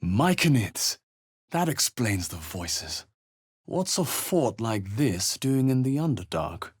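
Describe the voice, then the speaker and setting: An actor voicing a thoughtful, wary male adventurer in a fantasy video game, with a measured, musing delivery. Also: mid-range voice, very animated, slightly rough, no room sound, clean studio sound, exaggerated voice. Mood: startled, urgent. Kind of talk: video game character voice lines.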